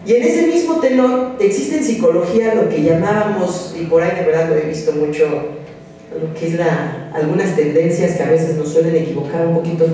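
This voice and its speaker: A man's voice: fairly high pitch, moderate pace, dark tone, a little hiss or hum. Mexican man lecturing in an echoing hall. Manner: direct, exhorting, addresses students as tú. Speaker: psychology lecturer. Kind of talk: conference talk to students. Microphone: podium microphone. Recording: roomy echoing hall from a distance.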